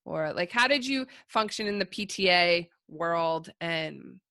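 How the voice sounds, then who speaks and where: Host: woman, bright forceful tone, lowish pitch, dry sound, rambling casual questions. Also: lively intonation, measured pace, no echo. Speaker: podcast host. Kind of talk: casual interview chat.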